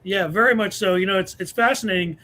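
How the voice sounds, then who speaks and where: A man's voice: sits high, quick pace, bright, forceful tone, a little hiss or hum. A man hosting a live show, chatting casually and effusively as he introduces guests. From United States. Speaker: host of a preparedness show.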